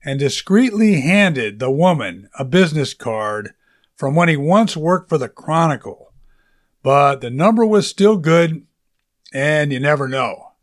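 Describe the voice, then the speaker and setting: A male audiobook narrator with a somewhat high-pitched voice tells a story in a conversational way. His delivery is casual and colloquial, as if recounting it to someone he knows. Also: expressive, unhurried.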